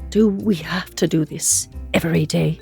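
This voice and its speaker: A woman's voice: lowish voice, unhurried, creaky, darkish tone, faint music behind. A woman playing an ambitious, impatient immortal in a comedic audio drama, with a haughty, exasperated, articulate manner.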